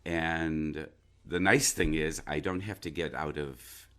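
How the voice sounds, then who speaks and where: A man who is an actor, chatting reflectively in a halting way, repeating words and restarting sentences. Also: extremely low pitch, pushed and bright. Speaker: stage and radio actor. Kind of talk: casual reflective conversation.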